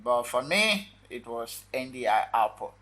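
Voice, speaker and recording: A man hosting a casual software tutorial, talking viewers through the steps informally in short phrases. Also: medium pitch, big pitch swings, unhurried. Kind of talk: casual screen-recorded tutorial talk.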